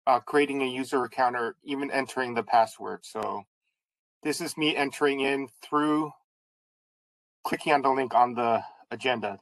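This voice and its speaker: Public commenter, mid-pitched male voice, plain and dry-sounding, matter-of-fact. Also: a bit bright, no echo, poor audio. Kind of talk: reporting a technical issue at a meeting.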